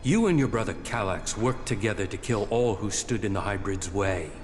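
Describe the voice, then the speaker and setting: A man playing a wary, proud rebel soldier in a video game, speaking in a measured, formal and distrustful way. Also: fairly low pitch, animated, natural voice. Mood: stern, measured. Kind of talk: video game cutscene acting. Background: music.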